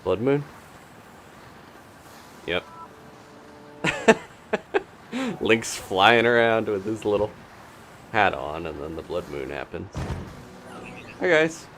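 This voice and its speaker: A man with a low voice gives playful, off-the-cuff gameplay commentary. His pitch swings widely as he exclaims and mutters to himself. Some noise sits behind the voice. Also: big pitch swings, takes it slowly, long pauses, fairly bright tone. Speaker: video game streamer and host. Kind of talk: casual live gameplay commentary.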